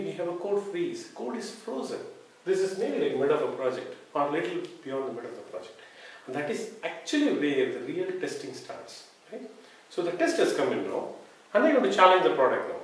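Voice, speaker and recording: A man in his forties with an Indian accent, explaining a lesson as a trainer, emphatically, with rhetorical questions and check-in tags. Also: fairly high pitch. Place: small bare-walled room indoors. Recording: echoey room, distant microphone.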